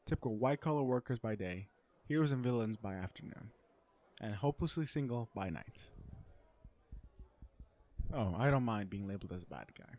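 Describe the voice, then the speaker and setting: A man's voice: lowish voice, lively intonation, normal speed, pauses often, a little mellow, close to an everyday voice. A man playing a self-assured, flirtatious businessman supervillain in a romantic comedy audio roleplay, talking to the listener in a sardonic, conversational way. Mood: flirty, relaxed. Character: cocky, flirtatious businessman supervillain on a blind date.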